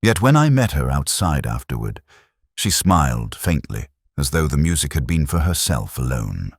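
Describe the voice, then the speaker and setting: A man narrating a scripted first-person detective story in a measured, reflective, literary manner. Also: a bass voice, big pitch swings, breathy edge, dry recording, studio quality.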